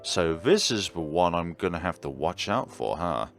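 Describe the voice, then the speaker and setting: A man's voice: low-pitched, sing-song, normal speed, gravelly. A man streaming a game with casual, wry reactions and reading along, game music behind him. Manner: wry, chatty asides. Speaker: game streamer, let's-player.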